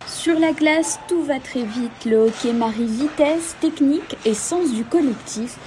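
Warm-toned woman news narrator. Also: high voice, measured pace, slight background noise. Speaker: reporter narrator.

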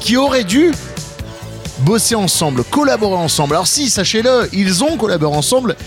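A man in his late thirties hosting a morning radio show, lively and teasing, laughing often. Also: high voice, fairly quick, soft background music. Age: late 30s. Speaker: radio host. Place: radio studio.

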